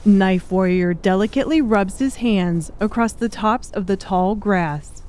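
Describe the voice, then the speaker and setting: A woman's voice: medium pitch, unhurried, a little mellow. A woman reading scripted, dramatic audiobook narration.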